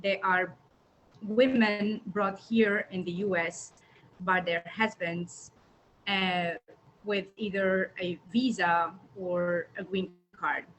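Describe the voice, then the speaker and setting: Woman, mid pitch, hesitant, faint noise behind her on a video call. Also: steady tone, slow, rough recording. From Algeria. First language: Arabic. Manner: hesitant, searching for words. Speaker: domestic violence caseworker. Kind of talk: panel talk.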